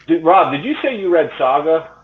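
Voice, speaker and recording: A man's voice: fairly high pitch, very animated, brisk, a little hiss or hum. A man in his forties in easygoing casual chat, asking the others questions. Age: 40s. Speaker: podcast co-host. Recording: tinny phone-like call audio.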